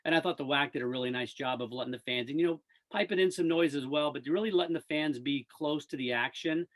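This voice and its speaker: Higher-pitched man chatting casually about sports. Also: fast. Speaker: radio play-by-play sports announcer, interview guest. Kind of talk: casual enthusiastic sports chat.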